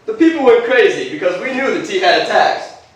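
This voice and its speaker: An actor playing an excitable, rebellious colonial innkeeper on stage, declaiming in old-fashioned phrases. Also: male voice, high voice, brisk. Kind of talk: live children's stage play acting. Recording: echoey hall, distant microphone.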